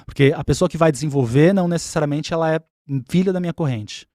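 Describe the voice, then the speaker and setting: Man, fairly high voice, patient explainer, podcast guest, dry close sound. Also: fast, a little mellow, dry recording. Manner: explanatory, patient, repeats points. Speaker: umbanda spiritual leader and guest.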